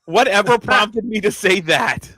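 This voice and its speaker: A man with a bright, forward voice, co-hosting a loose podcast chat. He rambles through stories and swears freely. The sound is dry and close. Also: high-pitched, a little slow, husky, no echo. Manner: profane, rambling storyteller. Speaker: podcast co-host. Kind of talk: casual wrestling podcast chat.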